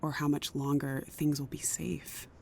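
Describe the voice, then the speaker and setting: Actress as grave, principled female official; lowish voice, stubborn, understated drama, rumbly noisy background. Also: level tone, a little slow, gravelly, a little mellow. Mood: worried. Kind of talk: naturalistic audio drama acting.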